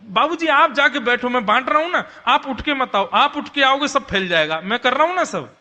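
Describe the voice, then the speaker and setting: Man playing insistent divine servant boy, high and ringing. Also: lively intonation, pushed and bright, full character voice. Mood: eager, insistent. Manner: brisk, insistent, colloquial. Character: God disguised as small, eager, hungry servant boy. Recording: long hall reverb.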